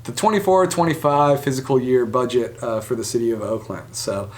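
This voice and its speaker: A man's voice: medium pitch, normal speed, a little mellow. A man hosting a sports show, giving casual, conversational commentary with speculative asides. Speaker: sports podcast host.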